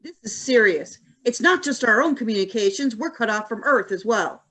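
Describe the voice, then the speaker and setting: A woman's voice: fairly high pitch, expressive, moderate pace, a bit bright. A woman playing a defiant deputy governor in a radio play reading, assertive and indignant.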